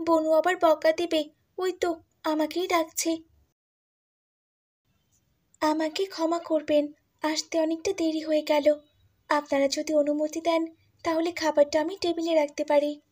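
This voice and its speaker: A woman playing a meek, dutiful young stepdaughter in a cartoon fairy tale, speaking politely and eager to please. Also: extremely high pitch. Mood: apologetic.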